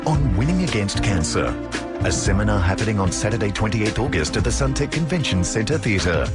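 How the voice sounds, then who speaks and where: Low-voiced man reading a promo. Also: very animated, a little fast, over loud music. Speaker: announcer. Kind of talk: advertisement voice-over.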